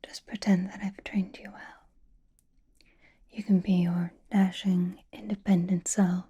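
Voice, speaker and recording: A woman playing a possessive, teasing female vampire in a whispered ASMR roleplay, breathy, coy, intimate and commanding. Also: lowish voice, level tone, a little slow, dark tone, exaggerated voice.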